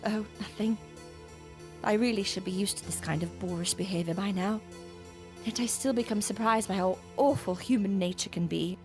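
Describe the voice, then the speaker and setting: A woman playing a disillusioned female scientist in an audio drama, speaking in a formal, measured way. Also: mid-range voice, faint music behind, natural voice. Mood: dejected. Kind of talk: period audio drama acting.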